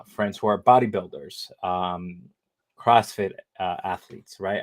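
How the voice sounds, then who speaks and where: A man's voice: fairly low pitch, a little mellow. A man in his thirties talking casually as an interview guest, rambling with many fillers and restarts. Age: 30s. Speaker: interview guest, living kidney donor.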